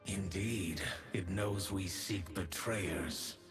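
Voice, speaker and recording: An actor playing a vengeful, grim male demon archer in game voice lines, with a low, slow and menacing delivery. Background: game music.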